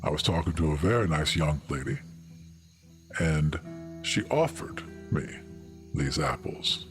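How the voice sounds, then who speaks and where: A man voicing a towering female centaur in a tabletop game, stern and intimidating, speaking with deliberate, menacing politeness. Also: very deep voice, lively intonation, over music, pitched below the performer's own voice. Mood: coolly polite.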